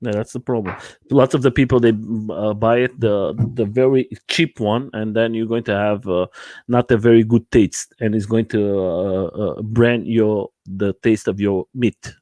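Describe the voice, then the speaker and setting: A man from Portugal with a Portuguese accent, hosting a casual chat and speaking haltingly with many fillers. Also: on the low side, fairly even intonation, dark tone, dry recording. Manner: hesitant, many uh fillers, laughs easily. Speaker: livestream host.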